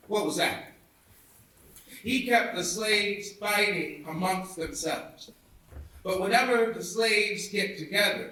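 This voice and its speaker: Male orator, high-set voice, emphatic preacher-like delivery, very reverberant indoor theatre sound. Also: pushed and bright. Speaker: reader of a historic speech. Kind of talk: oratorical public speech reading. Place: indoor theatre, recorded from afar. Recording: echoey hall, distant mic.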